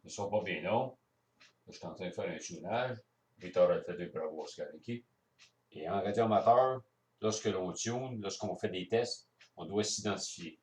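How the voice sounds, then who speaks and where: A man's voice: sits low, normal speed. A man with a Quebec French accent explains things step by step in a casual hands-on demonstration, as an amateur radio operator. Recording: roomy echo, distant microphone.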